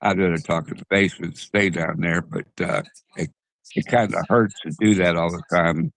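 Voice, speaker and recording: A man's voice: low-pitched, steady tone, normal speed, gravelly, fairly bright tone, no room sound. A man co-hosting a casual talk show, rambling with many restarts and chuckling asides. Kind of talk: casual chat.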